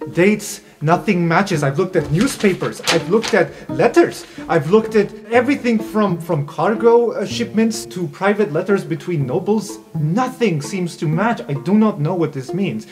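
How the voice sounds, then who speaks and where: A man's voice: high-pitched, lively intonation, moderate pace, music in the background, voice pushed up. A man voicing a grave, secretive university professor who speaks with emphatic repetition in an improvised tabletop game.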